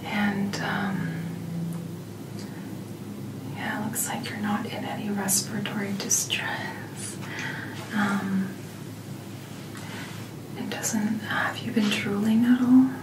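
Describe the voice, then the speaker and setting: A woman performs an ASMR doctor roleplay in a soft, breathy near-whisper. She takes her time, speaking very slowly with a gentle, reassuring bedside manner. The room is audibly echoey, with clear noise in the background. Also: mid-range voice, little pitch movement.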